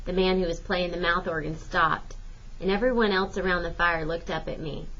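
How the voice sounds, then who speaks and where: A woman's voice: fairly low pitch, fairly even intonation, moderate pace, sharp tone. Woman reading a story aloud, slightly dull recording. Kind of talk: expressive storybook narration, first-person folksy text.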